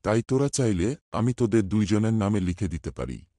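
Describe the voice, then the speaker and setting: A man's voice: lowish voice, animated, brisk, warm tone, no echo, clean studio sound. A man playing a remorseful older moneylender in a cartoon, pleading and confessing. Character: remorseful, humbled older male moneylender landlord. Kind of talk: cartoon voice acting.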